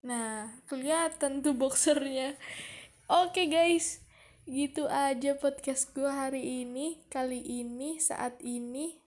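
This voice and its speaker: High-voiced young woman rambling, Jakarta slang. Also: takes it slowly, a little mellow. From Bogor, Indonesia. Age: young adult. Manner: rambling, chatty, talks to herself. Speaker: podcast host. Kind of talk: casual solo podcast chat.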